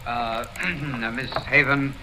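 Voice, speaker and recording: Flustered, stammering store manager. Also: male voice, mid-range voice, steady tone, slow, bright, forceful tone. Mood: nervous. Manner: hesitant stammering, fussy politeness, throat clearing. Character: flustered, apologetic male assistant store manager. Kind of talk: 1940s radio drama acting. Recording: noisy old radio recording.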